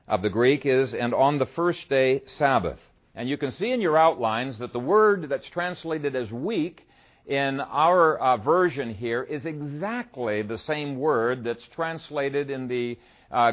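A man from Ethiopia preaching a sermon, conversational and easygoing, often pausing with "uh" as he tells a story and explains scripture. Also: medium pitch, animated, dull audio. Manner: conversational storyteller, frequent "uh" fillers. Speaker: pastor. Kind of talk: sermon with a humorous opening story.

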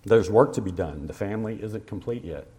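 Low-voiced man preaching a sermon. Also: warm tone. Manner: conversational, with fillers and pauses; slows to stress scripture references. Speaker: pastor.

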